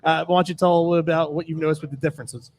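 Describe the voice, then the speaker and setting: A man with a fairly high voice talks quickly. He is a sports show panelist putting casual questions to a guest. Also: fairly even intonation.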